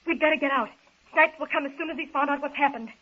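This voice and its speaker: A woman's voice: sits high, fast talker, fairly bright tone. An actress playing a refined, anxious but capable young woman in a 1930s radio serial, explaining things with patient urgency. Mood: anxious, urgent. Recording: dull, hissy 1930s radio recording.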